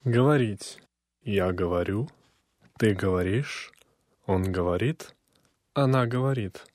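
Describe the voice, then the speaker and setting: A man reading a textbook language lesson aloud, slowly and with careful, clear enunciation. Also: lowish voice, a little mellow, no room sound, studio quality. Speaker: language course reader.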